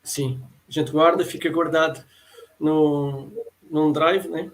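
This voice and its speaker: A man's voice: fairly high pitch, fairly even intonation, slow pace, low-quality recording. A man from Lisbon with a Lisbon Portuguese accent, a workshop participant on a video call, hesitant and repeating words. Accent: European Portuguese, Lisbon.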